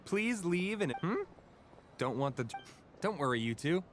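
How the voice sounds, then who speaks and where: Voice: medium pitch, very animated, unhurried, a little hiss or hum. An actor voicing an eager, showy amusement ride attendant in a video game, formal with dry asides. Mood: polite, announcing. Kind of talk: animated video game voice acting.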